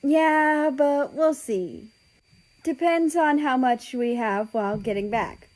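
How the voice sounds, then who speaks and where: Woman doing male cat brother, storybook figure, casual, friendly, playful, raised pitch. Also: expressive, takes it slowly, darkish tone, poor audio.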